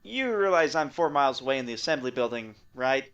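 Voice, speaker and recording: A man playing an excitable scientist in an audio drama, explaining technical things in a wry way. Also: mid-range voice, fairly quick, highs cut off. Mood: casual, pleased. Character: excitable male scientist explaining space physics.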